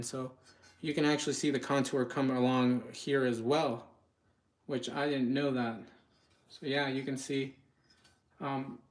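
A man giving casual live product commentary in a home kitchen, speaking informally and a bit hesitantly straight to viewers. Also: mid-range voice. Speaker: product reviewer, channel host.

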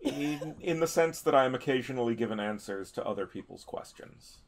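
A man talking casually as a player in a tabletop game, halting and repeating his words. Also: medium pitch, expressive. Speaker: tabletop role-playing player. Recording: faint background noise.

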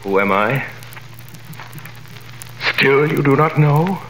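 An actor playing a vengeful nobleman in a 1930s radio drama, with theatrical, commanding delivery that swings from a cold hush to thundering denunciation. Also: medium pitch, expressive, slow, a little hiss or hum. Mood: accusing. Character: vengeful nobleman, a wronged man returned in triumph. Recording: old radio recording in a roomy space.

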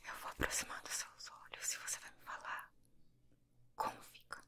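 A young woman with a Brazilian accent plays an optician in an ASMR roleplay. She whispers very close to the microphone in an unhurried, soothing way. The breathy sound is sharply bright, with some crackle in it. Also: a bass voice, monotone. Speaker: ASMR creator playing an optician. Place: small indoor room. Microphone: close desktop binaural microphone with foam cover.

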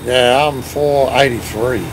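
A man's voice: mid-range voice, steady tone, slow, background noise. Australian man streaming, bantering with chat. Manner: banters, thanks chat viewers, swears playfully. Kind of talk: casual gaming chat. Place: home gaming room, indoors. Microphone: headset.

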